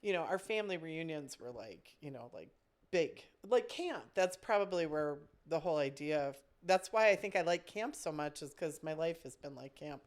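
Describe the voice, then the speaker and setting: A woman's voice: deep voice, animated, normal speed. A woman with a head cold, chatting casually and in a rambling way as a podcast co-host. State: congested with a cold. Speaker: podcast co-host, camp director. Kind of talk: casual chat.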